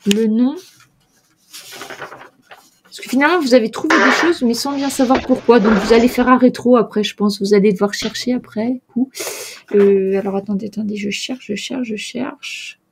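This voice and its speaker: Woman gm for a tabletop game, slightly high voice, lots of ums and restarts. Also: moderate pace, gravelly, noisy background. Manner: hesitant, many fillers and restarts. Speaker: game master. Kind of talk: narrating a tabletop role-playing game.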